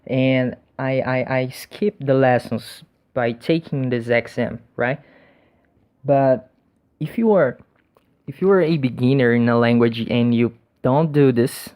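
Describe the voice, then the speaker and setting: Slow-talking man. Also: medium pitch, a little mellow, clear, resonant tone. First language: Portuguese. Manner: hesitant, many restarts and repeated words. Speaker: language learner sharing tips. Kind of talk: casual talk to camera. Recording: dull lo-fi recording.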